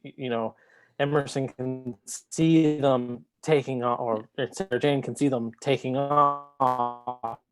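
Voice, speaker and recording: A man casually discussing a book as a podcast panelist, in a hesitant, halting way. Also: medium pitch, fairly even intonation, over music, low-quality recording.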